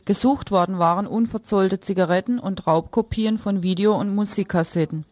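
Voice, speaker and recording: A woman's voice: medium pitch, moderate pace, darkish tone, no echo. A woman presenting and reading the news on a radio programme.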